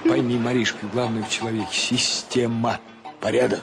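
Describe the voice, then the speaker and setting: An actor playing a fussy, excitable ship's captain and exasperated uncle in a radio play, scolding and stammering when agitated. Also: male voice, medium pitch, moderate pace, creaky, over music, natural voice. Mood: weary lecturing. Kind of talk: Russian radio play acting.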